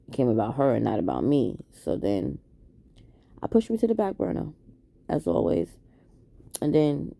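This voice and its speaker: A woman's voice: low-pitched, creaky, warm tone, dry recording. A woman around forty, talking to camera in a candid, hesitant, reflective way, with many ums and restarts. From Queens, New York. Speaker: vlogger. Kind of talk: candid late-night confessional talk to camera.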